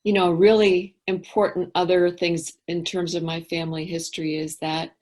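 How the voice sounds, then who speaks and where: A middle-aged woman as an interview guest, speaking thoughtfully and candidly, often trailing off into quiet asides. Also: on the low side, level tone, normal speed. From England. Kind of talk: reflective interview talk.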